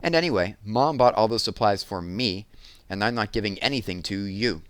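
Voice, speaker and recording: A man's voice: lowish voice, moderate pace, clear, resonant tone, no room sound, natural voice. A man voicing a stubborn, defensive young schoolgirl in an emphatic, indignant way for a storybook. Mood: boastful, stubborn.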